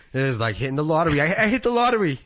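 A man's voice: on the high side, a bit bright. A man, a podcast co-host in loose, rambling sports chat who laughs often.

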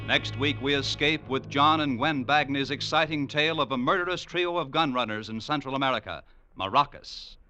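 A man's voice: mid-range voice, moderate pace, pushed and bright. A man announcing in the formal, polished style of an old-time American radio broadcast. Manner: formal, polished sign-off delivery. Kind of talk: 1940s-50s radio announcing. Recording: vintage radio broadcast with music.

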